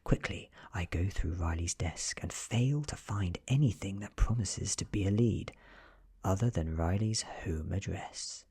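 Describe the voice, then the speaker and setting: British guy doing asmr horror story reading, low breathy half whisper, slow. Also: mellow. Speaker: narrator.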